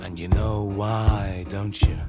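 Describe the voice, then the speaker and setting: A man's voice: lowish voice, flat delivery, takes it slowly, music in the background. An actor playing a resentful, scheming pirate crewman in a musical audio drama, persuasive, sarcastic and given to breaking into song. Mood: sly, goading. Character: discontented scheming pirate crewman, sly, resentful, misogynist agitator.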